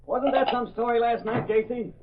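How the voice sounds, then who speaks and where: An actor playing an eager, cheeky newspaper reporter who talks in excitable slang in an old radio drama. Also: male voice, very high-pitched, normal speed. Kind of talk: 1930s-40s radio acting. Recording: tinny phone-like old radio recording.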